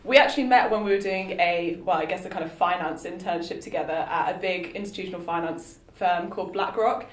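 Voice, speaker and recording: A woman's voice: fairly low pitch, brisk, few pauses, reverberant. A young woman from the English Midlands, a guest entrepreneur chatting casually with many ums and laughs. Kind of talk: casual conversation. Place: meeting room at a round table.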